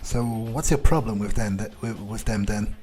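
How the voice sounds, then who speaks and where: A man's voice: on the low side, normal speed, over music, close to an everyday voice. A man roleplaying a polite, inquisitive young fledgling vampire in a video game, speaking hesitantly and tentatively. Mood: probing.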